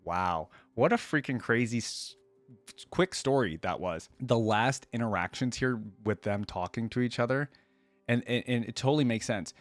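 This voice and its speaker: A man's voice: medium pitch, lively intonation, moderate pace. A man hosting a reaction video, commenting casually and reading story passages aloud. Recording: roomy echo, faint music behind.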